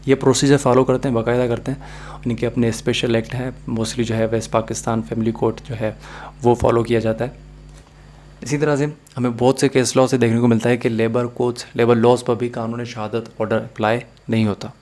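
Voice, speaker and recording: A man's voice: mid-range voice, flat delivery, fairly quick, a little mellow, slight background noise. A man with a Pakistani accent giving a methodical, explanatory video lecture as a law teacher, mixing in English phrases.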